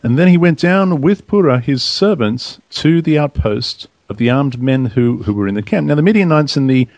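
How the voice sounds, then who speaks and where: Gravelly-voiced man reading scripture aloud. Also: mid-range voice, lively intonation, moderate pace, darkish tone, dry recording, lo-fi sound. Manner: reads verses aloud, then comments conversationally. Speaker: Bible study co-host reading scripture. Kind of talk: casual Bible study discussion.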